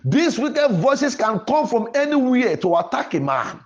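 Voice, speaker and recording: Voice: medium pitch, very animated, unhurried, dull audio. A preacher delivering a sermon in an emphatic, declarative way, repeating phrases for emphasis. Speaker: preacher and podcast host.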